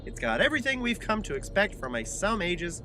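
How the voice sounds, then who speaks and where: Bright, high-pitched man playing a sentimental alien sidekick. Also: sing-song, fairly quick, a little hiss or hum, exaggerated voice. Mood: chatty, excited. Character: sentimental, naive male alien Time Lord sidekick. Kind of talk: comic audio drama acting.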